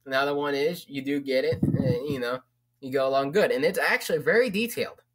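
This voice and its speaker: A young man talks casually to camera, rambling with plenty of fillers and restarts. He swears offhandedly, and his voice sits at an ordinary middle pitch. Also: brisk, fairly bright tone, slightly rough, low-quality recording. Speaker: vlogger. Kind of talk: casual book recommendation.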